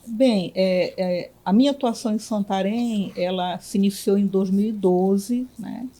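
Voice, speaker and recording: A woman from Belém, a physician and union director, answering interview questions in a reflective way with many pauses and restarts. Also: medium pitch, warm tone. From Belém, Brazil.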